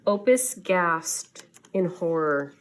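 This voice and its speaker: Slow-paced woman reading a storybook. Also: on the low side, slight background noise. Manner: expressive, dramatic storytelling. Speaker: schoolteacher reading to students. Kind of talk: children's storybook read-aloud.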